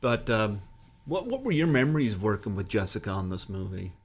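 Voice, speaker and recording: A man hosts an interview podcast in a relaxed, chatty way. He fills his pauses with uh and um and laughs easily. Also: fairly low pitch, a little hiss or hum. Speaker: podcast host. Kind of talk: casual interview chat.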